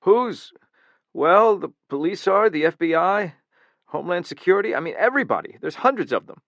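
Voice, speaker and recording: A man's voice: medium pitch, fairly quick, creaky, fairly bright tone, no echo, dull audio. A man voicing a frightened male security chief who pleads with a voice that cracks upward. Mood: pleading.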